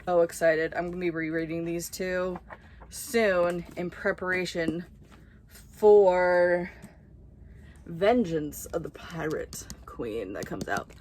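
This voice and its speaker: A woman's voice: on the low side, slow pace, a little hiss or hum. Chatty young woman, book haul chat. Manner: chatty and excitable, drops to whispered asides. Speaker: book vlogger.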